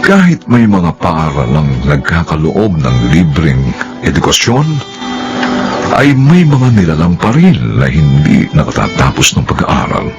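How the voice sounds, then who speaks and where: A man's voice: very deep voice, sing-song, measured pace. A man with a Filipino accent narrating a radio drama in a solemn, theatrical scripted style, with music behind him. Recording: loud music under the voice.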